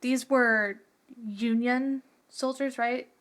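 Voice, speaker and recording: Higher-voiced woman at the game table. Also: fairly even intonation, slow pace, a bit bright. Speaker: player in an actual-play podcast. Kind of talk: casual game table talk.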